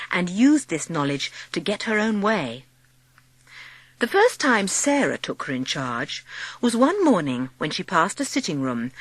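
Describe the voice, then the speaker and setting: Lively woman narrating a storybook. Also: medium pitch, dry recording. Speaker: audiobook narrator. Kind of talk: expressive scripted storybook narration.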